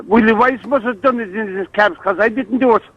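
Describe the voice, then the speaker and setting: A man's voice: high-pitched, fairly quick, dry recording. A man with a Cork accent on the telephone, arguing bluntly and indignantly with plenty of swearing. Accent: Cork, Ireland. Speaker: prank call victim. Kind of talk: heated phone conversation. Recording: muffled band-limited phone line.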